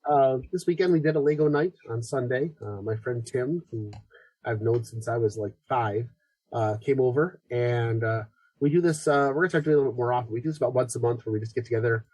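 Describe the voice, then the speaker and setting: A man's voice: mid-range voice, fast talker, a little mellow, breathy edge, dry recording, rough recording. A man from Buffalo, New York, co-hosting a podcast in casual chat, his voice stuffed up and nasal from a cold. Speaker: podcast co-host.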